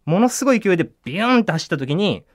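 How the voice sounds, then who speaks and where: A man's voice: sits high, very animated, racing, no room sound, clean studio sound. A man co-presenting a casual talk podcast, explaining things as a vivid storyteller with sound effects and little acted-out quotes. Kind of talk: animated explanatory storytelling, casual polite chat.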